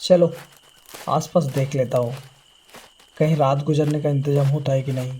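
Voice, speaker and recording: A man's voice: on the high side, fairly even intonation, moderate pace, warm tone, a little hiss or hum, natural voice. A man playing an uneasy, stranded young man who mutters to himself in a horror audio drama.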